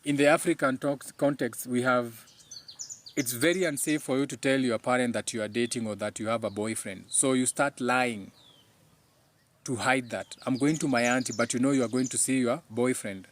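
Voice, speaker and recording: A man's voice: medium pitch, fairly even intonation, moderate pace, a little hiss or hum. A man with a Kenyan accent and Kikuyu as his first language, speaking informally as the host of a live talk, emphatic and preachy, often repeating his phrases.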